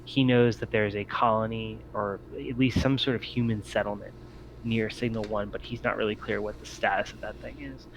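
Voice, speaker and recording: A man narrating a tabletop roleplaying game as game master, with a halting, hesitant delivery. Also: fairly low pitch, flat delivery, rough recording. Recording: faint background noise.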